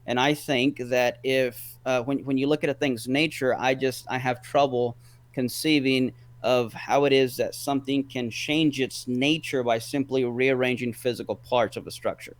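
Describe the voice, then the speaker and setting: A man's voice: mid-range voice, fairly even intonation, normal speed. A man as a guest in a casual debate, rambling and repeating words as he reasons step by step.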